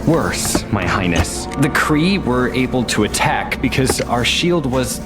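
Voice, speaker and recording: A grave royal scout, with a medium-pitched voice. He speaks formally and deferentially, a video game character under loud music. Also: sing-song, a little slow.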